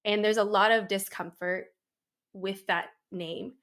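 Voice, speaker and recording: Woman, podcast host, earnest, emphatic, slows on key words, says "right?" often. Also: medium pitch, steady tone, fairly bright tone. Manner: frequent "right?" and "um". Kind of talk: heartfelt interview conversation.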